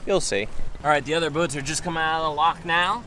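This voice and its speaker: A man's voice: a little high, fast talker. An American man in his thirties chatting casually to camera as a sailing vlogger, explaining things in a laid-back way. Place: open deck of a sailboat on water. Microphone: handheld camera at arm's length. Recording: outdoor camera mic, loud wind and boat noise.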